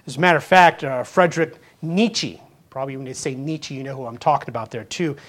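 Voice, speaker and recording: A man's voice: mid-range voice, a little fast, fairly bright tone. A man of fifty, a pastor, preaching a sermon in an earnest, conversational way, full of rhetorical questions and small restarts. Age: 50s.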